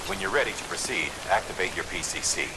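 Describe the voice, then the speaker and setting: An actor playing a stern mission handler in a video game, giving commanding, directive instructions. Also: male voice, low voice, expressive, quick pace, very noisy. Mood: stern, urgent. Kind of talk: video game cutscene acting.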